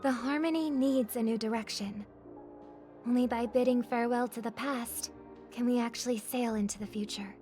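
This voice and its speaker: A woman plays a gracious young idol from a game, idealistic and earnest. Her voice sits fairly high and she speaks politely and with measure over faint music. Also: fairly even intonation, moderate pace, darkish tone, lightly acted. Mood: earnest resolve. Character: gracious, idealistic young female celebrity singer. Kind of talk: video game voice acting.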